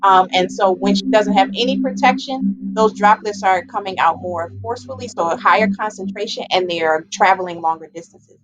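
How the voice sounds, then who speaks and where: A woman's voice: medium pitch, a little fast, hardly any pauses, rough recording. A woman giving an informal, explanatory public health presentation, stressing and repeating her key points as an educator.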